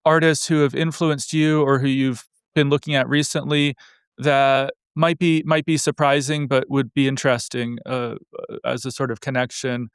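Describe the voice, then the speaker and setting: Rambling male interviewer, smooth clear voice. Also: medium pitch, dry recording, clean studio sound. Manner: rambling, hedging questions full of you know and like. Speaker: interviewer, show host. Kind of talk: casual interview conversation.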